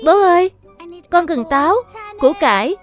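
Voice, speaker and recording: A woman voicing a sweet, eager little girl rabbit in a Vietnamese cartoon dub, speaking in an earnest, childlike, expressive way. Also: very high voice, normal speed, soft background music. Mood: excited.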